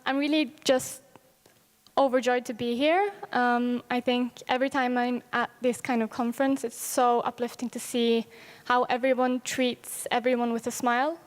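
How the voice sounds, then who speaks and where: Young woman, earnest, kinda high voice, echoey big room. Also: little pitch movement, normal speed. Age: young adult. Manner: warm-hearted, earnest. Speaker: conference participant. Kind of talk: heartfelt reflection. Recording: long hall echo.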